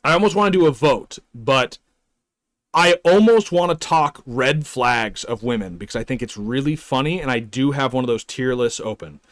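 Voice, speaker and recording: A man's voice: medium pitch, expressive, normal speed. A young man streaming live, chatting casually with his viewers in a rambling way, chuckling often and trailing off.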